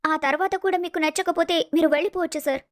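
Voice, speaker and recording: A woman's voice: very high-pitched, steady tone, fast, no echo, studio quality. A woman playing an earnest, determined young engineer who pleads her case in an audio story. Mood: urgent, determined. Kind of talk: dramatised audio story acting.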